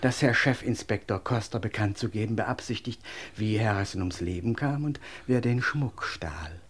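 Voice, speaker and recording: An actor playing a formal, discreet English manservant in a German radio crime play, deferential and measured. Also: male voice, fairly low pitch, lightly acted.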